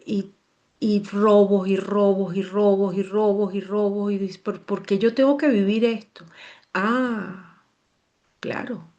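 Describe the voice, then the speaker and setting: A Venezuelan woman, an astrologer, explaining in an animated, digressive way and repeating words for emphasis. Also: medium pitch, dull audio. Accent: Venezuelan Spanish. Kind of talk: casual explanatory talk.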